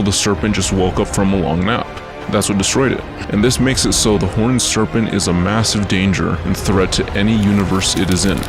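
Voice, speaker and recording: A man narrating a scripted explainer in informal, colloquial phrasing. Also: deep voice. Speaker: video essay narrator.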